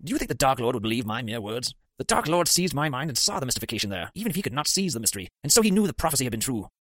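Guilt-ridden potions master, formal and pained, lively pitch, brisk delivery, read by a man. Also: no room sound. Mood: grave.

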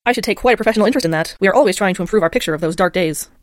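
A woman's voice: mid-range voice, very fast, dry recording, clean studio sound, natural voice. A woman playing a shrewd, commanding old witch in an audiobook, speaking formally and pointedly. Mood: dry, pointed. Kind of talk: audiobook character acting.

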